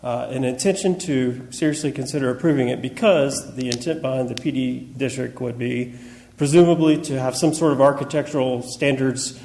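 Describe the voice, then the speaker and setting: A man on the council raising a question in a measured, hesitant way. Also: medium pitch, gravelly, darkish tone, slight background noise. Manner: measured, hesitant, thinks aloud. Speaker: council member. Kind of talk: council meeting discussion. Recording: echoey meeting room.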